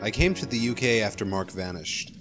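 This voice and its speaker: A man's voice: lowish voice, animated, brisk, music in the background, close to an everyday voice. A man with an American accent playing a loyal, protective young friend in an amateur radio play. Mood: sincere, casual.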